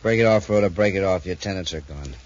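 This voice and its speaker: An actor playing an arrogant, calculating criminal genius in a 1950s radio adventure, with a smug, commanding and coldly impatient manner. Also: male voice, deep voice, quick pace, natural voice. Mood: impatient, scheming. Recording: muffled 1950s radio recording.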